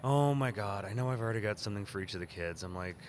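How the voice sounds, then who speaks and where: A man co-hosting a podcast in casual chat, his voice a little worn and husky from the weekend, talking in a relaxed way with fillers. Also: lowish voice, fast, a little hiss or hum. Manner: relaxed, chatty with fillers and restarts. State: voice a bit worn, deep. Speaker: podcast co-host. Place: outdoor courtyard at a convention.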